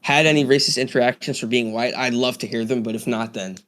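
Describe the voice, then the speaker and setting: A man's voice: medium pitch, steady tone, fast, fairly bright tone. A young man hosting a casual podcast chat, swearing often and restarting his sentences. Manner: swears a lot, stammering restarts. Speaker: podcast host.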